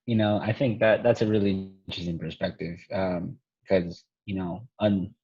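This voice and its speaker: A man from Nebraska co-hosts a podcast in a husky, low voice. He talks in an easygoing way, dropping the odd swear word. The sound is dry and muffled, with no room around it. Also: fairly even intonation, normal speed, a little mellow, dry recording. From Nebraska, United States. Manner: laid-back and easygoing, with fillers and casual swearing. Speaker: podcast co-host. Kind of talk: casual conversation.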